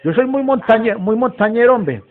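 Very high-pitched rustic old Colombian. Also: male voice, animated, normal speed. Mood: folksy, rambling. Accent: Colombian, Antioquia. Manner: folksy, rambling, swearing, repetitive. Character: rustic, foul-mouthed, agitated 78-year-old mountain man. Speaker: prank caller. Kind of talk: prank phone call, improvised character. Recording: muffled, very noisy phone recording with clicks.

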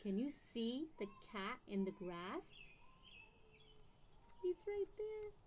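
A young woman reading a picture book aloud to young children in an expressive, questioning storytime manner. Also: on the high side, slow pace, a little hiss or hum. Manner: expressive, asks listeners questions. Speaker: storytime reader.

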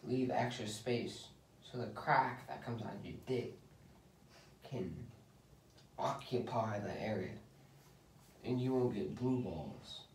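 A young man jokes around crudely, dropping curses as he talks at an unhurried pace. He sounds a way off from the mic, in an echoey space with steady background noise. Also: on the low side. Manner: crude, joking, swearing often. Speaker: vlogger. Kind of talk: casual chat. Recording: echoey room, mic far off, noisy.

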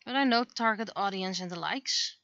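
Bright-voiced middle-aged Dutch woman, dull dry audio. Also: medium pitch, normal speed, dry recording. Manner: rambling, thinking aloud. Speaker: streamer and webcomic artist. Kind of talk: casual livestream chat while drawing. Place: indoors at a computer.

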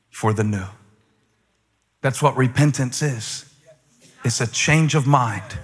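A male pastor delivering a sermon and prayer with rhythmic repetition that builds to emphatic peaks. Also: medium pitch. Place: large church auditorium. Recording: long reverb of a large hall, soft music underneath. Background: faint music.

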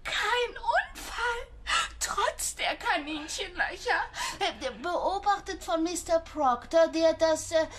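An actress playing a flustered, officious village secretary in a German radio play, reporting trifles in clipped, fussily precise phrases. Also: female voice, very high voice, expressive, slow pace, exaggerated voice.

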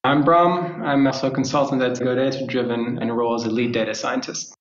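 Male guest, mid-pitched, filler-heavy, echoey lo-fi remote audio. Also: fairly quick. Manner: steady, with frequent "you know" and "uh". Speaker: lead data scientist guest. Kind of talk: casual interview answers. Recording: roomy, dull remote audio.

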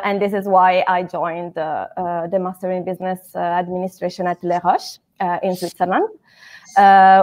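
A young woman with an Italian accent, an MBA student giving an earnest, measured self-introduction on a video call. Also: medium pitch, darkish tone. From Italy. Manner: earnest, measured, frequent uh pauses. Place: home living room. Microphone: video call with headset.

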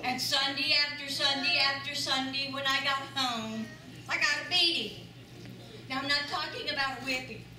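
A woman's voice: high voice, pushed and bright. An older woman gives an earnest, emphatic testimony in a church, repeating phrases for weight. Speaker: church member giving testimony. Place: large church sanctuary with audience. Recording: echoey sanctuary, voice from far off, noisy.

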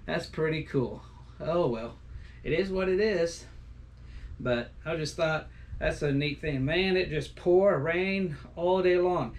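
A man's voice: on the high side, takes it slowly. A middle-aged man chatting loosely at home for his outdoor vlog, folksy and full of restarts and fillers. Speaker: outdoor vlogger. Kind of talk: rambling casual vlog chat. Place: small decorated home room, indoors.

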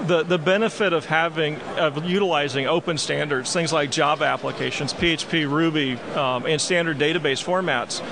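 A man's voice: a little high, moderate pace, vocal fry, few pauses. A man in his forties, a company executive, answering interview questions on a busy trade show floor with many "um" fillers, speaking into a handheld mic. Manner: lots of "um" fillers, gestures while talking. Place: busy expo hall floor. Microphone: handheld mic held by interviewer. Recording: noisy crowded expo hall. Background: crowd chatter.

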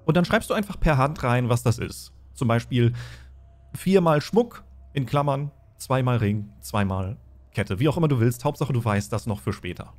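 A man's voice: medium pitch, expressive, normal speed. A man acting as game master, patiently explaining tabletop game rules step by step and narrating.

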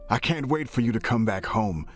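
An actor playing an affectionate, proud older brother in a video game, reading a hopeful letter in an earnest, expressive way. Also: male voice, fairly low pitch, moderate pace, natural voice. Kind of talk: scripted dramatic letter narration. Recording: music under the voice.

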